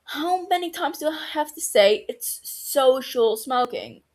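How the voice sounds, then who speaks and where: A woman's voice: high-pitched, animated, measured pace, voice pushed up. A woman voicing a young female doctor who talks in a wry, teasing way.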